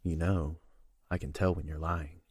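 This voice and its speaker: A man playing a flirtatious, self-assured royal guard in an audio drama, teasing and husky. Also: very deep voice, steady tone, normal speed, a little mellow, a little hiss or hum. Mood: probing.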